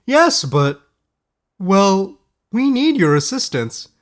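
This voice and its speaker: Man putting on a high, blustering, suspicious town leader. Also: sing-song, takes it slowly, exaggerated voice. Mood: reluctant, flustered. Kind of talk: fairy-tale audiobook character voice.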